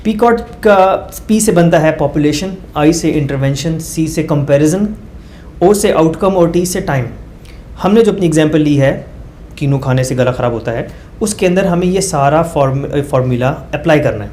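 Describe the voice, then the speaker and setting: A man's voice: on the high side, normal speed, darkish tone, a little hiss or hum. A male doctor explaining research methods as a guest, walking through numbered points with everyday examples and hesitant fillers. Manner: hesitant fillers, mixes English phrases.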